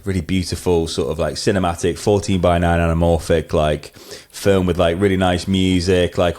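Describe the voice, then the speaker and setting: A middle-aged man with a low voice and a twangy blend of Scouse and Mancunian. He chats casually as an interview guest, rambling through anecdotes with a self-deprecating touch. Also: darkish tone. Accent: hybrid Scouse-Mancunian. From northwest England, Wirral and Manchester. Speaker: podcast guest, wedding photographer.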